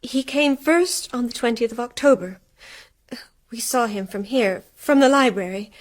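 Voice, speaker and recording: An actress in a radio drama playing an anxious, stricken wife who speaks in a hushed, intense way. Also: female voice, fairly high pitch, expressive, a little slow. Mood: hushed dread. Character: anxious, stricken wife uncovering a ghostly truth.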